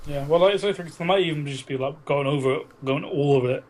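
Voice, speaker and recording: A man's voice: a little high, fast talker, darkish tone. A man co-hosting a podcast, chatting casually and bluntly about films, with frequent swearing. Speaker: podcast co-host. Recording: noisy amateur podcast recording.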